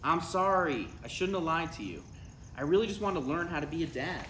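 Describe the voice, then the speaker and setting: A man's voice: fairly high pitch, expressive, brisk, a bit bright. A man in his thirties playing an anxious, needy, defensive adult son in a live comedy table read, awkward and sarcastic. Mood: earnest, pleading. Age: 30s. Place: live reading in a room. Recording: roomy live recording with clear background noise.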